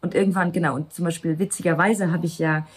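A woman in her thirties from Luxembourg, Luxembourgish by birth, speaks in a somewhat low voice. She answers interview questions reflectively, often restarting her sentences and laughing lightly. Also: steady tone, quick pace. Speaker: actress, interview guest. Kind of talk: candid interview conversation.

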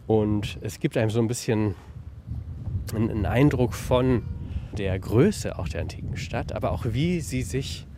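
A man's voice: lowish voice, animated, moderate pace. A man speaking conversationally in an on-site interview as the director of an archaeological park. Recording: faint background noise on location. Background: faint ambient noise.

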